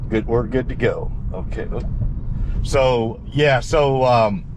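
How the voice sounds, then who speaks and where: A man's voice: medium pitch, slow. A man hosting a drive, talking informally and asking questions while in a moving car. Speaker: host filming a car test drive. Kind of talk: casual conversation while driving. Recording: clear car cabin road noise.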